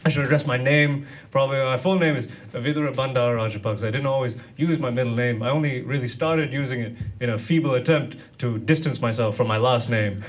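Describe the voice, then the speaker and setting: Deadpan seated man doing stand-up. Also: mid-range voice, quick pace, few pauses, recorded from a distance, noisy background. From Sri Lanka. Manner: dry, deadpan delivery with hesitant fillers. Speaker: stand-up comedian. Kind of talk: stand-up comedy set. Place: nightclub stage, seated, before a laughing audience. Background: audience laughter.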